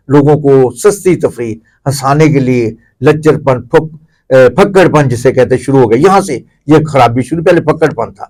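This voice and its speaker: A man's voice: mid-range voice, a little mellow. A middle-aged or older man with a Pakistani accent, speaking as an interview guest in a discursive, explanatory way. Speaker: interview guest, theatre commentator. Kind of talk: reflective explanatory interview talk.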